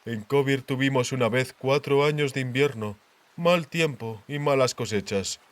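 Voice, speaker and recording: A man voicing a grave, worldly king in an audiobook, speaking in a measured, deliberate, cautionary way. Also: mid-range voice, natural voice. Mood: worried, reflective. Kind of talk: audiobook character dialogue.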